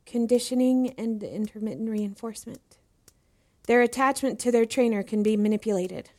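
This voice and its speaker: A young female student in a podcast drama. Her voice is fairly high, and she speaks earnestly and inquisitively, eager to learn and deferential to whoever is teaching her. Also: normal speed, warm tone. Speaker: voice actor in a fiction podcast. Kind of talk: acted audio drama dialogue.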